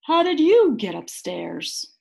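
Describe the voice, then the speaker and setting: A woman's voice: on the high side, sing-song, slow, vocal fry, slightly rough, full character voice. A woman voicing a puzzled male night watchman in a storybook, exclaiming and inquisitive. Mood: curious.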